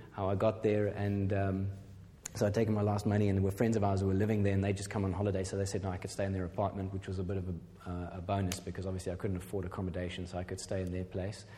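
A man's voice: fairly low pitch, mellow. A middle-aged man telling a personal testimony as a pastor, rambling and self-deprecating, with many fillers. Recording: hall reverb.

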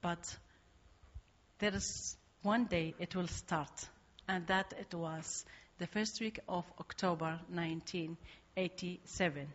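An Iraqi-accented woman tells her story slowly and carefully, pausing often on fillers. She speaks simple English to a church audience. The recording is dull and lo-fi. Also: medium pitch. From Iraq. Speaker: guest speaker. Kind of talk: church testimony.

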